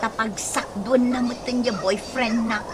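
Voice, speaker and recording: A gossipy young daughter with an Ilocano accent, voiced by a woman for a radio comedy drama. She is envious and exclaims in a high voice over clear background noise. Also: steady tone, unhurried, natural voice.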